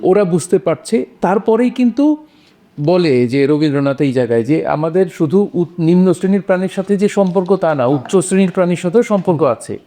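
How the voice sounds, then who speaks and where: A man's voice: high-pitched, animated, fairly quick, warm tone. A man explaining a literary point, repeating phrases for emphasis. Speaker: panelist.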